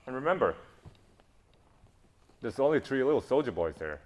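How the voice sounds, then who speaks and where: Sardonic adventurer captain, played by a man, swinging pitch. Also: big pitch swings, normal speed, natural voice. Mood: cool, mocking. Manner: sardonic, taunting. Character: cool, mocking, self-assured male adventurer captain with a revolver. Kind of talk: stage play acting. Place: stage performance.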